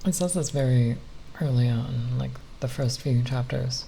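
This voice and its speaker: Slow, creaky, soft-spoken ASMR voice. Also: mid-range voice, slight background noise, poor audio. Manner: halting, hesitant, pausing mid-phrase. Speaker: ASMR video creator.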